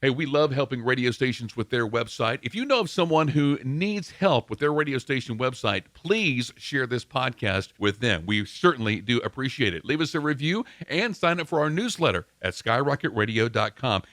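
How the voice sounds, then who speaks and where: A man's voice: mid-range voice, big pitch swings, normal speed, few pauses, fairly bright tone, no echo, studio quality. A man presenting an instructional podcast as its host, explaining things step by step and addressing the listener directly.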